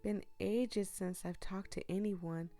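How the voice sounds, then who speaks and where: A woman's voice: medium pitch, steady tone, takes it slowly, mellow, close to an everyday voice. A woman voicing Michael, a sheepish mushroom man. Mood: friendly. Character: shy horned mushroom-creature young man.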